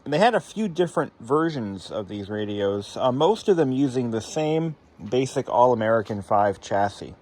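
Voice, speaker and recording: Male DIY electronics host, mid-pitched and very animated, off-the-cuff and sardonic, happy to swear. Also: moderate pace, few pauses. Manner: wry, sarcastic asides, casual swearing. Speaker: host of a hobby electronics repair channel. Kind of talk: casual rambling demonstration talk to viewers.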